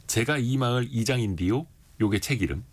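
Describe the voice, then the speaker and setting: A man hosting a radio interview show, reading market figures and chatting with a guest in a conversational manner. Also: mid-range voice. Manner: conversational, quick back-and-forth. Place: radio studio.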